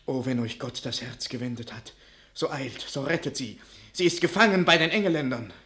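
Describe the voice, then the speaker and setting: A man's voice: medium pitch, expressive, moderate pace, pushed and bright. A man playing an earnest bearer of grave news in a classical radio drama, pleading urgently. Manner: urgent, declamatory. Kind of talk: classical German stage-style radio drama.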